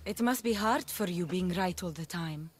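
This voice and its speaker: A woman playing a composed, caring adult daughter in a video game cutscene, speaking in a measured, earnest way. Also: lowish voice, a little hiss or hum, close to an everyday voice. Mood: warm, teasing.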